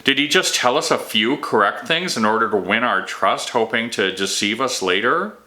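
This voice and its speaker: Man reading as a doubting, bright-voiced troubled king. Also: medium pitch, normal speed, voice pushed up. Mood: suspicious, insistent. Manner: questioning, emphatic. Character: troubled, suspicious young king, weary and doubting.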